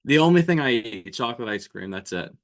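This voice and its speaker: A man's voice: medium pitch, expressive, a little fast. A man in his twenties, a podcast guest chatting casually, hesitant with lots of fillers and restarts. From New Jersey. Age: 20s. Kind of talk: casual chat.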